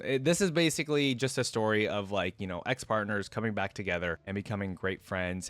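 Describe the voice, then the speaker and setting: Low-voiced, casual young man reacting. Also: smooth, no room sound. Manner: casual, enthusiastic, says "like" a lot. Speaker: reaction video host. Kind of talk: reading a story aloud and reacting.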